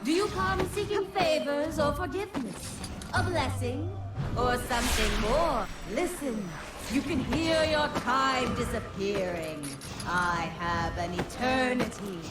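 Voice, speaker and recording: A corrupted, self-styled demon queen in a video game. She speaks slowly and rather high, theatrical and seductive, teasing and taunting her prey. Her voice echoes, with loud music behind it. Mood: grand, taunting. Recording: voice in strong reverberant echo.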